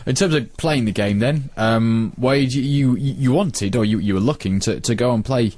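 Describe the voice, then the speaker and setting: A man's voice: medium pitch, normal speed, darkish tone, no room sound. A British man hosting a conversational sports radio interview, putting long, rambling questions.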